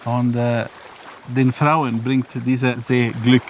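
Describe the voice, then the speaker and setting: A man in his seventies, a mountain guide, telling an old local legend in a halting way with many fillers. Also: mid-range voice, little pitch movement, slight background noise. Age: 70s. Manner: hesitant, with frequent fillers.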